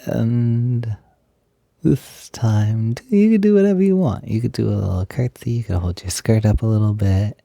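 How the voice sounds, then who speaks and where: A man playing an affectionate, smitten young roommate in an intimate roleplay audio, talking in a soft, breathy, gently hesitant and playful way. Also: deep voice, no echo, full character voice. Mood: playful, excited. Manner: gentle, hesitant, giggly, softly doting. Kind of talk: intimate roleplay audio, casual improvised-sounding chat.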